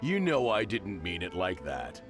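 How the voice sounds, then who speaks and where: A man's voice: low voice, huge pitch swings, unhurried, full character voice. A man voicing a generous, chuckling middle-aged shopkeeper and father in a game dub. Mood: jovial. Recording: game audio with music behind.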